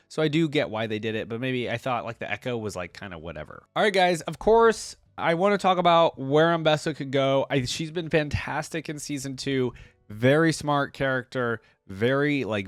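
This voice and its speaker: A man's voice: medium pitch, no room sound. Casual male reaction host, dry close sound. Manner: conversational, rambling, peppered with "like" and "you know". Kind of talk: casual reaction commentary to camera.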